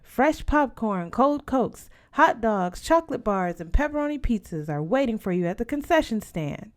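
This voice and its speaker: A woman's voice: fairly high pitch, sing-song, moderate pace, voice pushed up. A woman voicing a male drive-in announcer in a storybook, promoting snacks. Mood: promotional.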